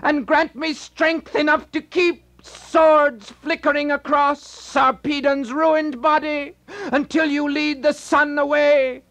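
A man's voice: extremely high pitch, little pitch movement, slow, fairly bright tone, pitched above the performer's own voice, heavily acted. A man voicing a wounded warrior who prays and reproaches with anguish in a storybook reading. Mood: anguished prayer. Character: wounded male warrior praying, anguished, urgent.

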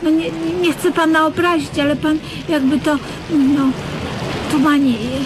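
High-voiced, exasperated fussy woman. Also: fairly even intonation, unhurried. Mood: flustered explaining. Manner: hesitant, stammering, flustered. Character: exasperated, fussy woman patiently explaining to a fool. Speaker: radio comedy actor. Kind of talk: Polish comedic radio play dialogue. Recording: noisy old radio play recording.

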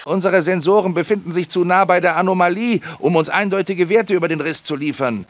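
A man's voice: brisk, no echo, natural voice. A man voicing a fussy, anxious research scientist for a German video game dub, with theatrical, precise delivery. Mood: earnest. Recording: dry, muffled low-fi game audio.

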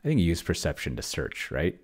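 A middle-aged man giving casual think-aloud commentary at his computer in a halting, murmuring way, close to a desk microphone. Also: sits low, very animated, slightly rough. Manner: halting, murmuring to himself while typing and reading. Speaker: host, solo roleplaying player. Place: small room at home, indoors. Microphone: desk microphone close to the mouth.

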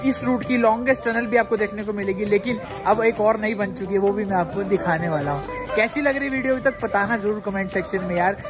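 A young man filming a travel vlog outdoors on a snowy train platform and inside a train, chatting excitedly with his viewers. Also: high voice, a little fast. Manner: excited, chatty, keeps calling viewers brother. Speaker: travel vlogger. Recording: background music mixed under the voice. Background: music.

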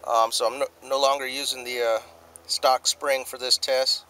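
Halting man filming at a desert range. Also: medium pitch, level tone, bright, forceful tone. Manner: hesitant, many "uh" fillers, plain and matter-of-fact. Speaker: firearms video host. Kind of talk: casual hobby demonstration. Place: outdoors in open desert.

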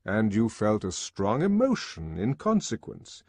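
A man's voice: on the low side, wildly swooping pitch, a little slow, darkish tone, dry recording, full character voice. A man voicing a lofty, commanding male world ruler with an oratorical manner in an audiobook. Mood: probing. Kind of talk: audiobook character acting.

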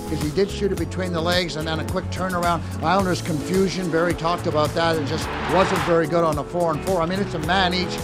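Male TV sports analyst, sixties, chatty and folksy, fairly high-pitched, with loud music behind. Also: steady tone, quick pace, hardly any pauses. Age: 60s. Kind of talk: conversational post-game hockey analysis. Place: inside a large hockey arena. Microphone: handheld broadcast microphone.

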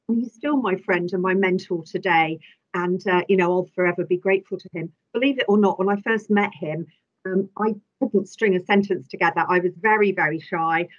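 An older woman from England, a government vet, telling stories at length over a video call with frequent ums. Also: mid-range voice. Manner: talkative, warm storytelling with hesitations. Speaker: chief veterinary officer, guest. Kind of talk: conversational interview answers. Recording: slightly dull video-call audio.

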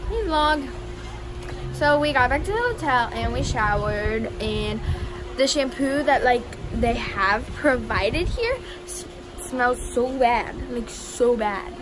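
A woman's voice: on the high side, lively intonation, takes it slowly. A teenage girl chatting casually to her vlog on a phone held at arm's length. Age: teens. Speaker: vlogger. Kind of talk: casual chat. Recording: noisy handheld phone vlog.

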